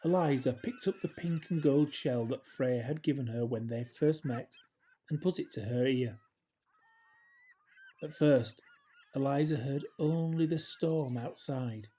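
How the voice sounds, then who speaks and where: A man reading a children's story aloud in a gentle, expressive way, with hushed dramatic drops. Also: mid-range voice, unhurried. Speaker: storybook reader. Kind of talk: scripted children's story narration, read aloud.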